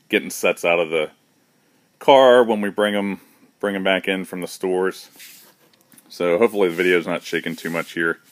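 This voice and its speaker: A man's voice: sits low, no room sound. A man giving a casual, matter-of-fact tour of his shop's warehouse while filming on a handheld phone. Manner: relaxed, matter-of-fact, with fillers and restarts. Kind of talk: casual walkthrough narration. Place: large indoor warehouse with shelving. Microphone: handheld phone camera, speaker behind it.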